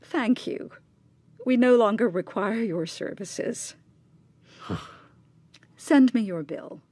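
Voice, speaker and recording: A woman's voice: a little high, very slow, a little mellow, breathy edge, dry recording, exaggerated voice. An actress playing a bitter, imperious, bigoted upper-class mother in a radio drama, clipped, cutting and sarcastic, with insistent questioning. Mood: impatient, sarcastic. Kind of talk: naturalistic radio drama acting.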